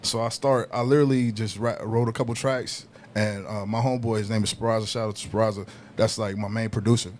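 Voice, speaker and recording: Man, deeper voice, laid-back storyteller, casual podcast chat, faint background noise. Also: steady tone, fast, few pauses, a little mellow. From Southern California. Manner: laid-back, peppered with "you know what I mean", laughs as he tells it. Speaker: guest hip hop artist.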